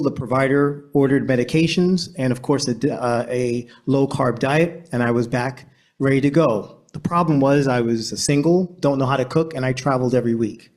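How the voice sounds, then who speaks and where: A man, a consultant, giving a short pitch at an event in a plain, personal storytelling way. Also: darkish tone.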